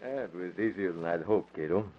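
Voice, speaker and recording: An actor playing a calm, confident, brisk crime-fighting publisher in 1940s radio drama style. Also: male voice, low-pitched, a little hiss or hum, close to an everyday voice. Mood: confident, satisfied. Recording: muffled vintage radio recording.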